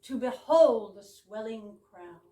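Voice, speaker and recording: Echoey, slow-speaking woman hosting a live evening. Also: a little high, expressive. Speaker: host welcoming an audience to a readers theater evening. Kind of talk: live welcome speech. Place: room in a private home with a small audience. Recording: echoey room, distant mic, background noise.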